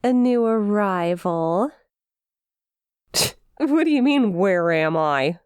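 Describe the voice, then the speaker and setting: A woman's voice: mid-range voice, expressive, slow pace, a little mellow, clean tone, no echo. A woman playing a perky, smug demon imp in an ASMR roleplay, teasing with playful mock sympathy and a possessive streak. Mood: teasing, gloating. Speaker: audio roleplay performer.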